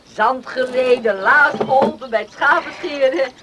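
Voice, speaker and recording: A woman's voice: sits high, unhurried, a loud crowd. An actress in a radio drama playing a grateful, caring middle-aged farm mother. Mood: grateful, kindly.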